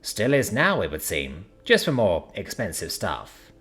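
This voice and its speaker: A man's voice: low voice, wildly swooping pitch, unhurried, fairly bright tone, soft background music, full character voice. A man voicing a sarcastic young woman with a dry, unimpressed way of talking.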